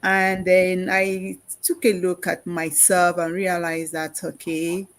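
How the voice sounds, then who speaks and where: Slightly low-voiced mature woman from England. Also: little pitch movement, takes it slowly. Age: 50s or older. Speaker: interview guest, IT consultant. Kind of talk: casual interview chat.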